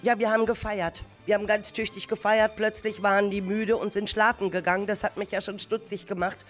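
A woman plays an anxious schoolteacher in an amateur radio drama, with a medium-pitched voice. She is agitated, repeats words and stumbles as she frets. Music runs behind her. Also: level tone, natural voice. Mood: flustered. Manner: agitated, halting, talking to herself and repeating words. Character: flustered, worried female primary school teacher missing her pupils. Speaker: teacher character. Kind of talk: amateur radio play acting.

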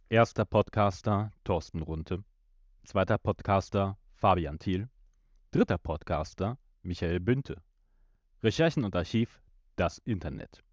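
A man's voice: deep voice, animated, a little slow, dry recording. A man co-hosting a podcast, chatting and making announcements from a room at home. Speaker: podcast host. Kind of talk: podcast talk and scripted announcements. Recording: dull home recording.

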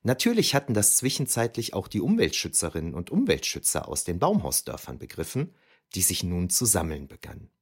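An actor playing a shaken eyewitness, narrating an audio drama in the first person with deliberate, suspenseful emphasis. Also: male voice, low-pitched, wildly swooping pitch, husky, heavily acted. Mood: grave, tense. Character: shaken eyewitness recounting an eerie forest battle.

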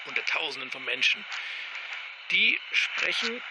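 A man's voice: on the high side, sing-song, a little slow. Flustered, defensive male agent, rambling message, slightly raised voice, heavy noise all around. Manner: grumbling, defensive, rambling to himself. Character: indignant, bumbling male agent stranded among crowds. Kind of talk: audio drama monologue as answering machine message. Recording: very noisy, radio-like message recording.

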